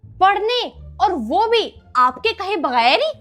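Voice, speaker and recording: A tattling, sarcastic older sister, acted by a woman in a family comedy skit. Her voice is extremely high and complaining, with faint music underneath. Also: sing-song, takes it slowly, a bit bright, heavily acted. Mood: mocking. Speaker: actor in a comic skit. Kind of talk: comic family skit acting.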